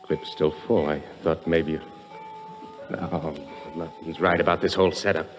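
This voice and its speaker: A man playing a hard-bitten, suspicious American thief in a 1950s radio drama, gruff and clipped in his delivery. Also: a bass voice, fairly even intonation, normal speed, poor audio. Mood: tough, suspicious. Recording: 1950s radio recording, dramatic music behind.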